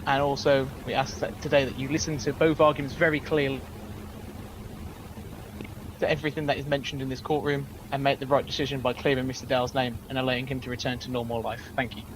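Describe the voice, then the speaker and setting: A man's voice: mid-range voice, flat delivery, quick pace. Earnest man giving an opening statement. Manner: earnest, stumbling over words. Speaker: defense lawyer in a roleplay court. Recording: noisy game voice chat.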